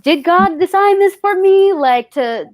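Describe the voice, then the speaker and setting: A woman's voice: very high-pitched, animated, measured pace, no echo, studio quality. A woman actor on a panel, reflecting aloud and searching for her words as she goes.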